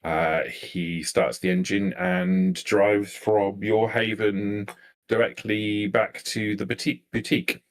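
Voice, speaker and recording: Guy with a low voice game mastering a vampire tabletop session, improvising as he goes. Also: little pitch movement, slow. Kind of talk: narrating a live tabletop vampire role-play.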